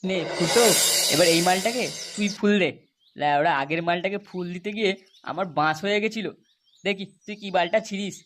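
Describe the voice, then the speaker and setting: Rowdy young cartoon lad played by a man, high voice, fast crude banter, faint noise. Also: a bit bright. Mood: grumbling. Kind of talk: crude comedy cartoon dialogue.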